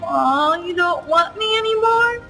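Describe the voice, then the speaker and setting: A woman's voice: very high-pitched, animated, measured pace, bright, forceful tone, soft background music, full character voice. A woman voicing a small feisty fox creature in a game, with a cutesy, plucky manner. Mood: hurt. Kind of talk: voiced game character dialogue. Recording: amateur-sounding mod recording.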